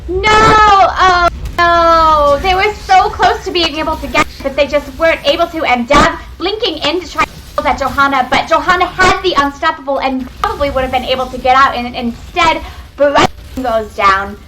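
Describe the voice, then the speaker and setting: A young woman giving excitable, chatty live commentary on a video game match, talking to her viewers from home on a webcam microphone. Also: sits high, normal speed. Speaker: esports caster. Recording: webcam mic with faint noise.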